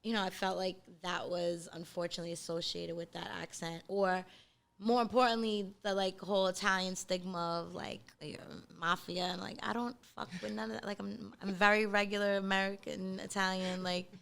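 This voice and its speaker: A woman's voice: mid-range voice, flat delivery, moderate pace, gravelly, fairly bright tone. A woman from South Brooklyn with a Brooklyn accent, chatting casually as an interview guest in an emphatic, slangy way and swearing freely. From South Brooklyn, New York.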